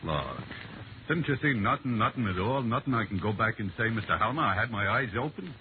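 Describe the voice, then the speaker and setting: Actor doing big dumb worried bodyguard, rough talker, voice all over the place, noisy old radio. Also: male voice, fairly low pitch, animated, brisk, close to an everyday voice. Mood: pleading, eager. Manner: rough, ungrammatical, pleading. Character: big anxious bodyguard, uneducated, pleading for his job. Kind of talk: 1950s radio drama acting. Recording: noisy, tinny 1950s radio recording.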